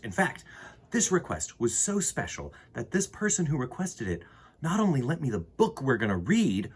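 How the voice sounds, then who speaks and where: Husky, animated man hosting story time. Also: mid-range voice. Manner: enthusiastic, addresses listeners directly. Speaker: children's story time host. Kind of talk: expressive storybook reading and chat to young viewers.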